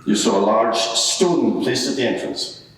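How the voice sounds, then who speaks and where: A man's voice: medium pitch, lively intonation, a little slow, a bit bright. A man playing a probing, sceptical courtroom advocate who fires insistent, pointed leading questions in a dramatised cross-examination. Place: large reverberant courtroom. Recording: echoey hall, distant microphone.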